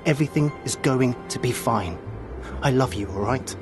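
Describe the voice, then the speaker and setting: A man playing a downtrodden, fearful villager in a video game, with a husky voice and heartfelt delivery. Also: mid-range voice, normal speed, music in the background, lightly acted. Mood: tender, reassuring. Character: downtrodden male villager, gentle with family, fearful. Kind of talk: video game cutscene acting.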